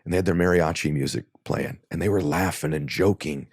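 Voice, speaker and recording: A man with a deep voice, a guest in an interview, telling a personal story earnestly and building to impassioned emphasis. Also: fairly quick, a little mellow, slightly rough, dry recording, clean studio sound.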